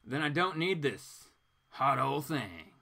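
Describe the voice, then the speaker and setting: A man's voice: on the high side, big pitch swings, slow, creaky, pushed and bright, full character voice. A man voicing a plain-spoken family man from a comic book, with rustic, folksy phrasing. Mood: curious.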